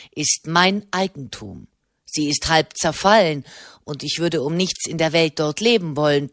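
Woman doing a jolly scheming nobleman, calm and unbothered, storybook. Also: on the low side, no echo, studio quality. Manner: cheerful, unruffled. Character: large stout aging nobleman, cheerful schemer.

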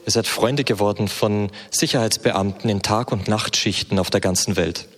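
A man's voice: on the low side, steady tone, normal speed. A man interpreting a sermon consecutively, fluent but with frequent hesitation sounds. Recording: reverberant hall.

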